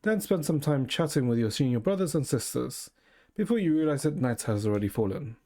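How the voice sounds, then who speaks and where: A man's voice: mid-range voice, animated, fairly quick, mellow. A man streaming a game, reading its dialogue and narration aloud and adding casual commentary. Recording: game music faintly behind.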